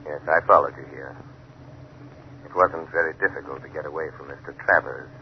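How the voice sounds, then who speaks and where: An actor playing a mysterious invisible avenger, calm, deliberate and taunting, in a 1930s radio mystery. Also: male voice, rumbling low, creaky, a little hiss or hum. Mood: cool, triumphant. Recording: dull 1930s radio recording.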